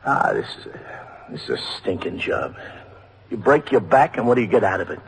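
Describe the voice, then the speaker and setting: A man's voice: on the low side, animated, a little fast. An actor playing a bitter, grumbling policeman and family man with an American accent in a vintage radio drama. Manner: grumbling, sardonic. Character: bitter, weary policeman, a married man with kids. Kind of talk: 1950s radio drama acting. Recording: noisy vintage radio recording.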